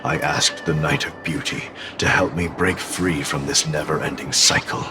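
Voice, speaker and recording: An actor playing an ancient demon bound in a sword in a game, solemn, weary and sorrowful, with a gravelly voice. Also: male voice, steady tone. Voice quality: very deep, gravelly. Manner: solemn, slow, deliberate. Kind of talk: dramatic game dubbing. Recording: music under the voice. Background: music.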